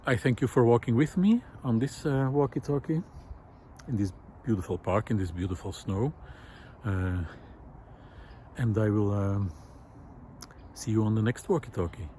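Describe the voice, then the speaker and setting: A man's voice: on the low side, animated, a little slow, long pauses, dark tone, a little hiss or hum. A man hosting a vlog, giving a reflective, unscripted talk with thoughtful, hesitant phrasing while walking outdoors. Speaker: vlog host. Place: outdoors in a snowy park, walking.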